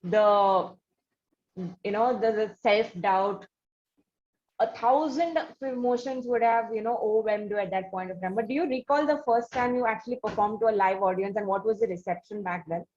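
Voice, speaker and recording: A woman with an Indian accent hosting a conversational interview, asking long, halting questions on lo-fi audio. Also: mid-range voice, a little mellow. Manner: wordy, halting questions with repeats. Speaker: interview host. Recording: dull lo-fi audio with faint noise.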